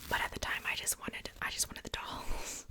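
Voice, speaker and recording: A woman speaking in a soft, breathy whisper, chatting casually and unhurriedly in ASMR style close to a sensitive microphone. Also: normal speed. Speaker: ASMR video creator.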